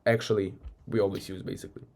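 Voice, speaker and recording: A man's voice: low-pitched, fairly even intonation, fast, creaky, darkish tone. A man with a Croatian accent, coaching by talking casually through a recorded sales call and often tagging "right?". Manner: loose and conversational, often tags "right?".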